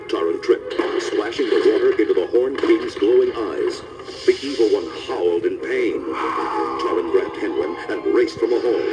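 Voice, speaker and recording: A man's voice: deep voice, poor audio. A man giving scripted narration of a children's storybook as narrator.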